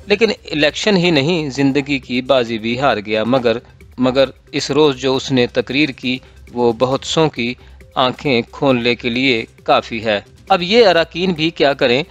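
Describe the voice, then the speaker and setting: A man's voice: medium pitch, a bit bright. Pakistani man narrating over background music. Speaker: narrator reading a political column. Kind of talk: scripted narration of a newspaper column.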